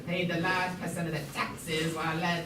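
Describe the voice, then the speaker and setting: A student putting on a halting puppet museum guide, distant and echoey. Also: medium pitch, level tone, natural voice. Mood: formal, explanatory. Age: teenager or young student. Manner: halting, stumbling over words, giggly at times. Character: puppet museum guide, formal, mock-learned, named Schnitzelbach. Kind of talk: amateur school puppet show, scripted lecture. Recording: noisy, echoey room, far from mic.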